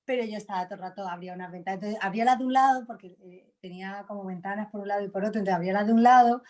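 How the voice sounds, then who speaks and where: A woman's voice: medium pitch, racing, darkish tone, lo-fi sound. Chatty woman. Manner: reflective, laughs often, frequent fillers. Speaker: actress, interview guest. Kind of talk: relaxed conversational interview answers.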